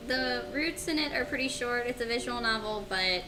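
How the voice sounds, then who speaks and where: A woman with a fairly high voice talks casually as part of a group gaming video. She sounds loose and goofy. Music plays clearly behind her. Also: expressive, moderate pace, rough recording. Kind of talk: casual chat.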